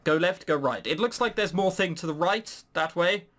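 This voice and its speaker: A man's voice: sits high, normal speed, a bit bright. A man giving casual, sarcastic gaming commentary with some swearing, sometimes reading game text aloud. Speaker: let's play host.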